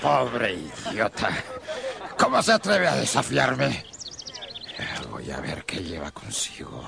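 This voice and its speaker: An actor playing a sinister, sneering villain in a radio drama, with a low gravelly voice and a menacing, gloating manner. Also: male voice, wildly swooping pitch, slow, noisy background, exaggerated voice. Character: sneering, sinister scar-faced male villain. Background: dramatic music.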